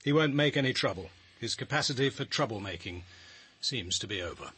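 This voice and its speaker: Measured English detective, husky voice. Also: male voice, mid-range voice, expressive, sharp tone, no room sound, full character voice. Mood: matter-of-fact. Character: measured, sceptical male police detective, quietly authoritative. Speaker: actor in a radio drama. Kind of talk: radio drama dialogue and first-person narration.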